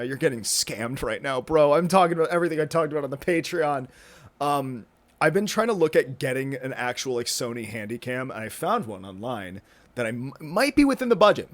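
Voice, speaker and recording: A man in his twenties hosting a solo podcast, rambling casually with lots of fillers, restarts and the odd swear word. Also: mid-range voice. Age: 20s. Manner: rambling, self-interrupting, many fillers and restarts; casual, swears, says dude and bro. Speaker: podcast host. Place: indoors, with a thunderstorm rolling in outside.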